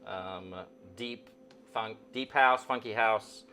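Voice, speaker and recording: A man's voice: lowish voice, slow pace, bright, forceful tone, clean tone, faint music behind. A man with a South African accent chatting casually as an interview guest, playful and rambling, often repeating words. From South Africa and Zimbabwe. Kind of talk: casual chat.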